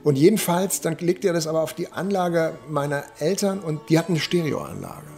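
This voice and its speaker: A man with a slightly high, creaky voice recalls his memories in an interview. He talks in anecdotes and corrects himself along the way, with some music behind him. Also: animated, normal speed, darkish tone. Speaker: theatre dramaturg, interviewee. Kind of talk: personal reminiscence in an interview.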